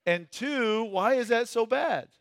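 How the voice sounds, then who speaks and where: A man's voice: extremely high pitch, animated, takes it slowly. A man teaching a Bible study in a conversational way, stressing key words and putting questions to his group.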